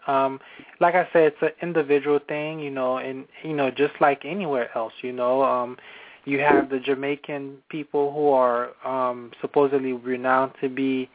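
A man's voice: medium pitch, flat delivery, normal speed. A man hosting an internet call-in radio show, talking casually with many fillers and restarts. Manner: hesitant, many fillers and repeated words. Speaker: show host. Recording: tinny phone-line audio.

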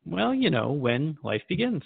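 A man's voice: a little high, very animated, a little slow, creaky, breathy edge, no echo, full character voice. A man playing a thoughtful, mildly skeptical radio interviewer in a scripted drama, speaking in a reflective, questioning way. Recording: narrow phone-like band, dry.

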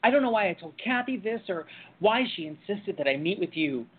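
A man acting in a radio play as a despondent young man with a husky voice, hesitant and defensive, sometimes stammering. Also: high voice, animated, fairly quick. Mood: brooding, uneasy. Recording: tinny, phone-like narrow-band audio.

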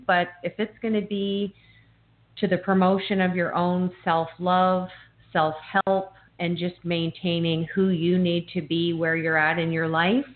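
A woman in her fifties hosting an interview, speaking enthusiastically and warmly complimenting her guest, often repeating her first words. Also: on the low side. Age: 50s. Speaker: podcast host. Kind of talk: interview talk. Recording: phone-like remote line.

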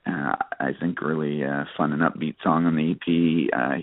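A man, a country singer giving casual, halting interview answers full of hesitations. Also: rumbling low, steady tone, dry recording. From Canada. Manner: halting, frequent hesitations and you-knows. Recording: narrow phone-like line.

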